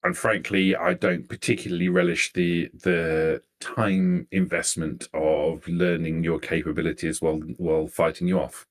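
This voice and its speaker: A man acts out a poised vampire noblewoman in a tabletop game. The voice sits low and chooses words formally and deliberately, polite with cool menace underneath. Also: level tone. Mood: guarded, calculating. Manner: formal, measured, deliberate diction. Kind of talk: improvised tabletop roleplay.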